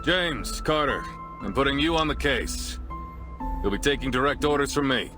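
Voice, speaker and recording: A man playing a stern, commanding deputy police chief with a formal, measured, authoritative manner. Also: medium pitch, lively intonation, over music, lightly acted. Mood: grave, commanding.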